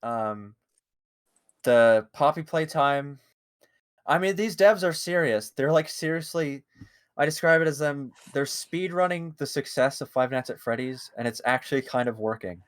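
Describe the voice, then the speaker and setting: A podcast co-host shares gaming news in a loose, rambling way, full of hesitations and playful asides. The voice sits at a middle pitch. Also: normal speed, clear, resonant tone, no echo. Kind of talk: casual chat.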